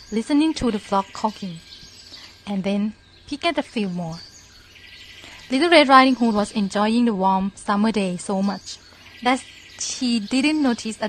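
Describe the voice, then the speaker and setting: A woman's voice: medium pitch, slight background noise. A woman narrating a scripted children's story as moderator.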